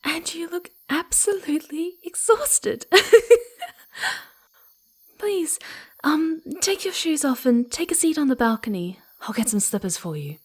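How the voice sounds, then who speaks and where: A mischievous little female kitsune in an ASMR roleplay. The voice is high and girlish, swooping widely in pitch, and moves at an unhurried pace. The delivery is cutesy, teasing and affectionate, with a giggly, excitable edge. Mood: warm, fussing.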